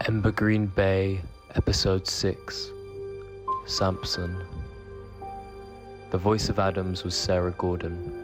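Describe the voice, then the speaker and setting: A man's voice: low-pitched, level tone, takes it slowly, pauses often. A man reading scripted credits as the announcer of an audio drama. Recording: music under the voice. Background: music.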